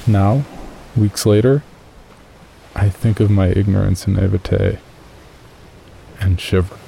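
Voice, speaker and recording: A man's voice: lowish voice, steady tone, takes it slowly, gravelly, mellow, slight background noise, low-quality recording. A man playing an amateur caver, reading his uneasy journal as first-person horror story narration. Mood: measured, uneasy.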